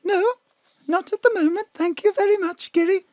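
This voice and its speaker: A woman putting on a shrill, trembling faded film star. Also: fairly even intonation, normal speed, darkish tone, no echo. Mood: anxious. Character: fragile fallen film star woman, anxious. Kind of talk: radio drama acting. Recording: dry home studio.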